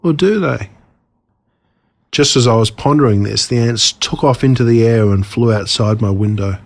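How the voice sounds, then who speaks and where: A man's voice: medium pitch, normal speed. Australian man narrating a children's story. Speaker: author reading own story.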